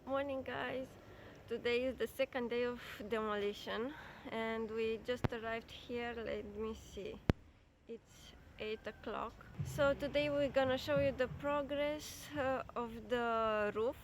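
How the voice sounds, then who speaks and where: Upbeat Romanian-accented woman in her thirties, slightly high voice, light background noise. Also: steady tone, unhurried, rough recording. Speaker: vlogger. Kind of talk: casual vlog. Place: outdoors at a building site.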